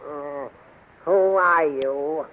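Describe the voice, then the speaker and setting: An actor playing a slow, pompous court magician in a 1930s radio serial, mumbling and hemming as he goes. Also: on the high side, dull audio. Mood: hesitant, pompous. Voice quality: mumbling, halting. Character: slow, doddering, pompous male court magician, grumbling and imperious. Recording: noisy, very thin 1930s radio recording.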